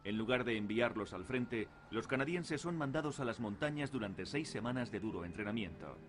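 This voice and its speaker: A male documentary narrator with a steady, measured delivery. He reads a scripted voice-over at an even, unhurried pace, sounding like a polished studio read. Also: mid-range voice, noisy background.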